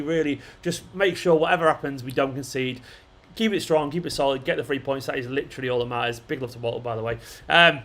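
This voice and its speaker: A man hosting a football watchalong livestream, chatting casually and matily to viewers, his voice starting to go. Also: a little high. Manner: informal matey address, often saying "man", "mate" and "pal". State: losing his voice. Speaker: fan channel livestream host.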